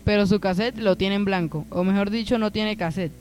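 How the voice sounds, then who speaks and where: A man's voice: sits high, fairly quick, faint music behind, close to an everyday voice. A Colombian man playing an earnest, slangy young friend in an amateur radio drama, colloquial and insistent. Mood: earnest, explaining.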